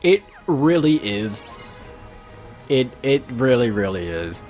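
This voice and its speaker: A man's voice: mid-range voice, sing-song, takes it slowly, a little mellow. A young man giving casual, rambling let's play commentary with an exasperated streak. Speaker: gaming video host. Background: game music.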